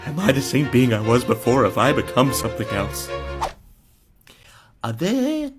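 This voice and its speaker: A man's voice: mid-range voice, huge pitch swings, normal speed, music in the background. A man voicing a small talking rat who asks anxious, bewildered questions. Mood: existential panic.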